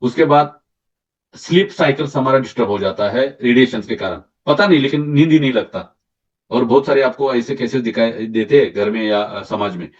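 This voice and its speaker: Distant, hoarse man giving product training. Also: medium pitch. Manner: explains slide by slide, asks "right?" often; mixes English terms into Hindi, halting restarts. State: slightly hoarse throat. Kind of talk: sales training presentation. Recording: distant mic, dull lo-fi sound.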